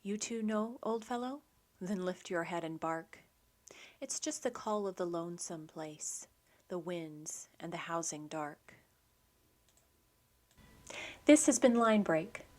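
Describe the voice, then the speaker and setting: A woman hosting a poetry program, reading scripted commentary and verse in a measured, literary way. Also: fairly low pitch, a little mellow.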